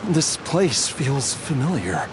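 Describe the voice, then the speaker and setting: A man voicing an anxious, devoted, forgetful ailing man in a video game, speaking earnestly and haltingly, with impassioned emphasis. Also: mid-range voice, very animated, noisy background. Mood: uneasy. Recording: music under the voice.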